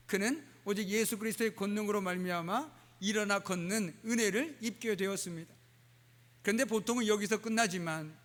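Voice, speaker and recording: A male pastor preaches to his congregation in a fairly high voice, pausing often with "uh" and slipping in dialect phrases. The sound carries a long church-hall reverb. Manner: warm preaching with dialect phrases and frequent "uh" fillers. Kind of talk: sermon. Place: church sanctuary.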